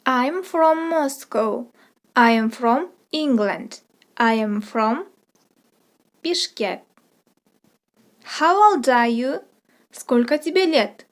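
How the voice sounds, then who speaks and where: A woman with a high voice hosts a language lesson. She speaks as a teacher, setting out short phrases and their translations, then repeating them with care for learners. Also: lively intonation, slow pace, pauses often. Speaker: language teacher, channel host. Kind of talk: scripted trilingual language lesson.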